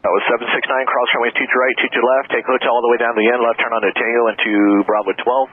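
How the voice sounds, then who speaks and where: A man's voice: mid-range voice, little pitch movement, fast, husky, phone-quality sound. A man working as an air traffic ground controller, giving clipped procedural instructions over the radio. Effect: aviation radio.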